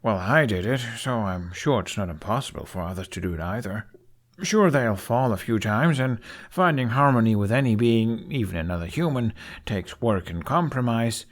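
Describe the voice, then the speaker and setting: A man's voice: fairly low pitch, expressive, moderate pace, close to an everyday voice. A man voicing an awkward, earnest young male Viking dragon rider in an audiobook, hesitant and easily flustered. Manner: hesitant, stammering, flustered when pressed. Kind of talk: audiobook character acting.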